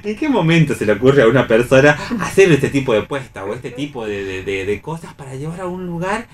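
A man's voice: medium pitch, sing-song, fairly quick. Argentine man in reflective radio discussion. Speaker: radio panelist.

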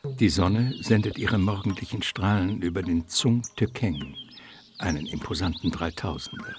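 A man narrating a comic adventure radio play as a wry, literary storyteller with measured delivery. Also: low voice, background noise, close to an everyday voice. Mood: descriptive.

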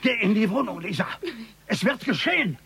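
A man's voice: high-pitched, very animated, fairly quick, a bit bright. An actor playing a stubborn, strained father in a radio crime drama, firm, irritated and commanding. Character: strained, stubborn father holding off his family. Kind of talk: German radio play acting.

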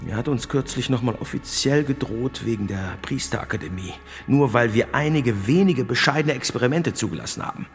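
In a long, echoing hall with music underneath, an actor plays a stern reformist bishop, a man of power. He speaks at a medium pitch, emphatic and indignant, like an orator in a radio drama. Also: animated, brisk, few pauses, natural voice. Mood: bitter, scheming. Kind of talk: German radio drama acting.

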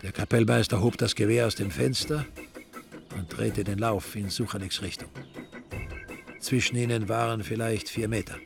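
A man's voice: on the low side, fairly even intonation, normal speed, gravelly. A man narrating a scripted crime story as the narrator of a radio drama. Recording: music behind the voice. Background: music.

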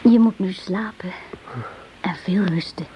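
An actress playing a gentle, worried widow who nurses her wounded brother in a radio drama. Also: mid-range voice, unhurried, lightly acted. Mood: soothing. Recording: noisy old radio drama recording.

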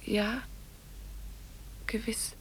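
An actress playing an earnest, wistful young village girl in a 1950s German radio drama, hesitant and often dropping to a hush. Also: very slow, a little hiss or hum. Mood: shyly hopeful. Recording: 1950s radio play from cassette tape.

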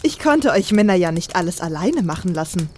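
A woman's voice: lowish voice, very animated, fairly quick, mellow. Woman as confident female spy, fantasy radio play, blunt delivery, audible background noise. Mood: determined.

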